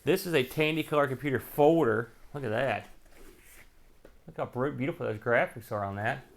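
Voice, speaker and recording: A man's voice: mid-range voice, moderate pace, gravelly. An American man hosting a casual unboxing, rambling and thinking aloud as he handles each item. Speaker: host of a retro computing show.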